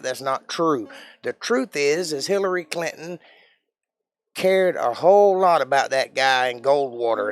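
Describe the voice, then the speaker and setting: A man's voice: fairly high pitch, dry recording. Opinionated man ranting on stream. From Georgia, USA. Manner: emphatic, opinionated, rhetorical questions. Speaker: live stream host. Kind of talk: casual political rant to chat.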